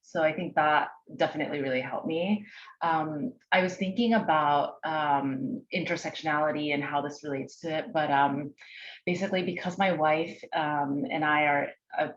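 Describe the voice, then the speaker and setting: Woman raised in Japan, deep voice, thoughtful panelist, muffled recording. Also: steady tone, moderate pace. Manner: soft-spoken, thoughtful, hesitant with many fillers. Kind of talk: personal storytelling on a panel. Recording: dull lo-fi audio.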